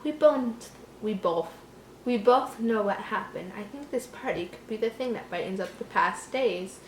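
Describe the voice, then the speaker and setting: A woman voicing a worried mother from a story, gently coaxing and earnest. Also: mid-range voice, animated, normal speed, natural voice. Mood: somber. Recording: roomy echo, faint background noise.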